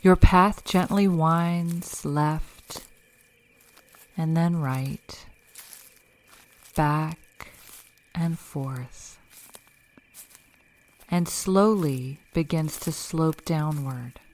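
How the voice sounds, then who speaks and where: A woman leading a guided meditation in a calm, measured, instructional way, reading scripted narration. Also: sits low. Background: background music.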